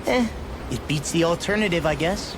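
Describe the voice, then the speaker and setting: Brash, animated young guy. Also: on the high side, normal speed, background noise. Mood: skeptical. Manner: blunt, slangy. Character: brash, sarcastic young male fighter, easily puzzled. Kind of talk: English video game cutscene acting. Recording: game music behind the voice.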